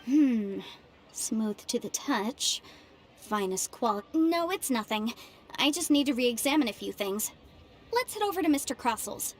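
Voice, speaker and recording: A woman's voice: fairly high pitch, big pitch swings, unhurried. A woman playing a confident, shrewd young legal adviser in a video game, speaking in articulate, formal phrases. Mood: thoughtful, businesslike. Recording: game dialogue under loud background music. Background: game music.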